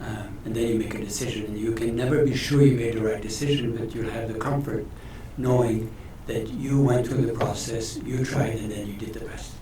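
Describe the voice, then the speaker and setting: A man moderating a panel discussion, speaking calmly and plainly. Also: lowish voice, brisk, few pauses. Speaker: moderator of a mock tribunal. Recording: echoey hall with faint noise.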